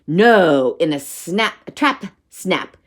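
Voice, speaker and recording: A small, suspicious scalawag creature from a children's storybook acted out by a woman reader. It grumbles and dismisses, with pitch that moves about freely. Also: lively intonation, slow, exaggerated voice. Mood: insistent.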